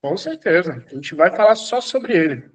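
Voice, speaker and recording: A man's voice: high-pitched, big pitch swings, moderate pace. A man, an apostle, teaching an online church class, exhorting students and repeating his commands, at times laughing as he tells stories.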